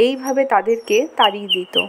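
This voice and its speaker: A woman's voice: fairly high pitch, brisk, very noisy. A woman narrating a scripted children's story. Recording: loud birdsong behind the narration.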